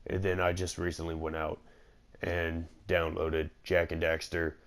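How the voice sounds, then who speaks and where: Male gaming commentator: very low, gravelly voice, informal rambling delivery, game music faintly behind. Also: level tone, measured pace. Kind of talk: casual gameplay commentary.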